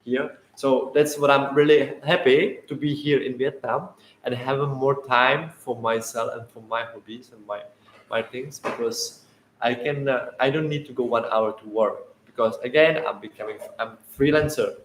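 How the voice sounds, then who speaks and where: A Czech man chatting casually on a livestream in halting non-native English, often restarting his sentences. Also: mid-range voice, steady tone, reverberant, slight background noise, poor audio. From Czech Republic. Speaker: guest.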